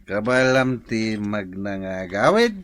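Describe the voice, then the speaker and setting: A man's voice: on the low side, animated, slow pace, a bit bright, smooth. A man playing a pushy, teasing drinking buddy in a comedic radio drama, insistent and repetitive, with an Ilocano accent.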